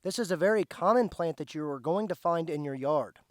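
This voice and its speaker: A slightly hoarse man, patiently explaining step by step as he teaches plant identification outdoors. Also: on the high side, expressive, fairly quick, no room sound, clean studio sound. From central Indiana, USA. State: slightly hoarse, recovering from lost voice. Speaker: host, foraging teacher. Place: outdoors by a driveway.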